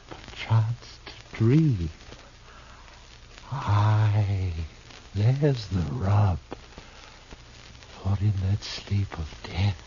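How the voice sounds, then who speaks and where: An actor in a 1950s radio Western playing a cultured, despairing traveling player turned killer, speaking in a halting, theatrical, mournful way. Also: male voice, lowish voice, dark tone. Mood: weary, mournful. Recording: muffled, noisy old 1950s radio recording.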